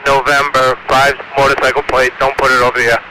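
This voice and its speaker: A male police officer speaking in clipped, halting radio procedure over a police radio. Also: medium pitch, monotone. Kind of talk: terse police radio traffic. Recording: noisy police radio scanner capture.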